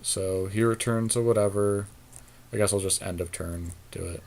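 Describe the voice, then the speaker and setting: Low-pitched man thinking aloud over a game. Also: steady tone, moderate pace, a little mellow, slight background noise. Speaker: podcast host playing an online card game. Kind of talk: casual gameplay commentary with a partner.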